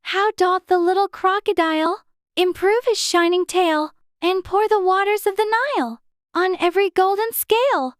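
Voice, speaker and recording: Very high-voiced anxious little girl. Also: normal speed, dry recording, clean studio sound. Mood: reciting. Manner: fretful, talking to herself in prim childish exclamations. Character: anxious, chatty little girl in a fantasy storybook. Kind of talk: dramatized audiobook character acting.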